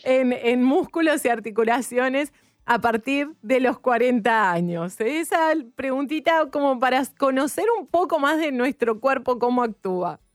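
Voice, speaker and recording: A woman's voice: sits high, lively intonation, normal speed, dry recording. A woman co-hosting a radio health show, bubbly and enthusiastic. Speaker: radio co-host.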